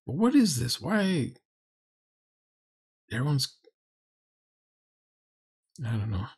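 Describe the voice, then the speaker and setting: A man's voice: medium pitch, sing-song, slow pace, darkish tone, breathy edge. A middle-aged man giving critical, sarcastic commentary on a roleplaying game in a grumbling, mocking way. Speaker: commentator reacting to a recorded roleplaying session.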